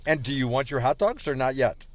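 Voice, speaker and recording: A man's voice: medium pitch, expressive, a little fast. An older man with a Chicago accent, a guest chatting and teasing the host in a wry way at a restaurant. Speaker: guest, former radio marketer. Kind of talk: casual chat.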